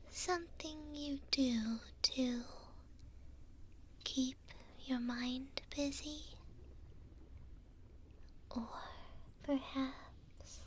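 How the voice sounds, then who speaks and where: A woman playing a gentle, hopeful imprisoned elf in an ASMR roleplay, speaking tenderly in a soft, breathy whisper close to the mic with pauses between short phrases. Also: sits high, a little hiss or hum. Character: gentle, hopeful female elf prisoner in a dungeon. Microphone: close binaural microphone.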